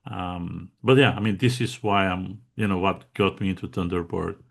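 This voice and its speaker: A man's voice: low-pitched, fairly even intonation, moderate pace, creaky. A man from Bulgaria with a Bulgarian accent, a guest chatting casually in an interview, with lots of fillers and restarts. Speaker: guest contributor.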